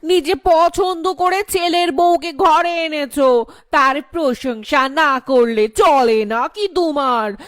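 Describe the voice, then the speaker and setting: A spiteful middle-aged housewife from an animated drama, acted by a woman. Her voice is high and slow, recorded dry and close, with a scornful, complaining delivery. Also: no room sound. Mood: bitter, spiteful. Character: spiteful older housewife, bitter mother-in-law. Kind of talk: animated horror story drama dialogue.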